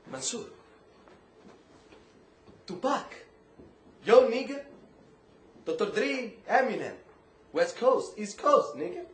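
Sardonic prisoner, high voice, slangy swagger, bitter, brotherly, radio drama, roomy faintly noisy recording. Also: male voice, sing-song, drawn-out words, long pauses, fairly bright tone, heavily acted. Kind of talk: stage-style radio drama acting. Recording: roomy stage sound with faint noise.

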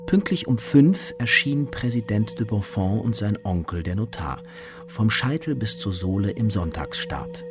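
A man's voice: on the low side, expressive, normal speed. A man narrating a literary radio drama in a scripted storytelling style, with music behind him. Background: music with ringing tones.

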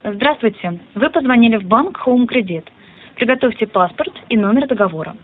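A woman's voice: a little high, expressive, moderate pace. A woman reading a scripted automated greeting as the announcer of a bank phone line. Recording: noisy narrowband telephone line.

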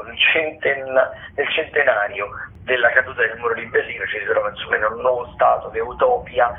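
A man, a theatre critic giving a radio listings rundown in a halting, rephrasing manner. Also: medium pitch. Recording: thin tinny phone line.

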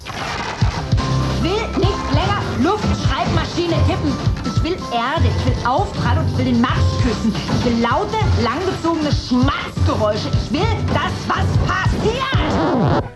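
Radio drama part: desperate, defiant-willed woman, high register, drawn-out emphatic phrases, loud music behind. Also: expressive.

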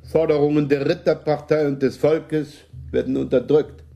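An actor playing a wily, avuncular older prince in a German radio play, dryly ironic and legalistic. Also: male voice, on the high side, flat delivery, normal speed, vocal fry, warm tone. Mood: sly, avuncular.

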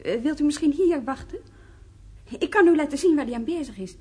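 An actress playing a polite, helpful woman receiving a visitor in a radio drama, with a husky voice. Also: high voice, expressive, brisk, darkish tone. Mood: polite, hesitant.

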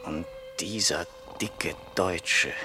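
An actor in a radio play playing a poor young surgeon who broods and is tempted, in a hushed, halting voice. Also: male voice, low voice. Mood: brooding, bitter. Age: young adult. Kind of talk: German radio play acting, interior monologue. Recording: studio radio play with music underneath.

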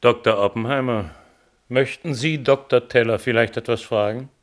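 An actor playing a courteous, formal hearing chairman in a German radio play, speaking solemnly and deliberately. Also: medium pitch, fairly even intonation, lightly acted.

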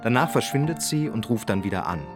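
An actor as the sombre, detached male narrator of a radio crime drama, measured and melancholy. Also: medium pitch, animated, over music, close to an everyday voice. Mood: sombre, reflective.

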